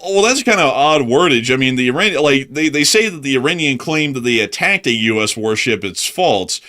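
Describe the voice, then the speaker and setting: A man's voice: mid-range voice, animated, moderate pace, bright, forceful tone. A man co-hosting a live news stream, giving analysis in long, explanatory sentences. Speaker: co-host analyst.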